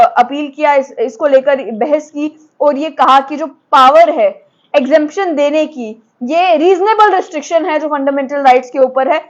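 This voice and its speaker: A woman news presenter. She delivers explanatory commentary, slipping English technical terms into her sentences. The audio is slightly dull and lo-fi. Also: sits high.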